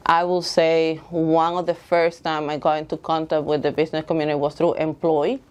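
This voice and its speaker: A middle-aged woman from the Dominican Republic with a Dominican Spanish accent, explaining her case as a candidate in an earnest, halting way. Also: low voice, little pitch movement, darkish tone, clear, resonant tone. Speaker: school board candidate. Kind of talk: candidate interview, semi-prepared pitch.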